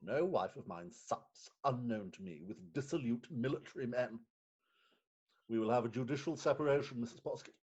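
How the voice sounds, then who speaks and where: An actor playing a pompous, aggrieved middle-aged Victorian magistrate in a comic stage reading. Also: male voice, medium pitch, animated, normal speed, darkish tone, breathy edge, highs cut off. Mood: affronted.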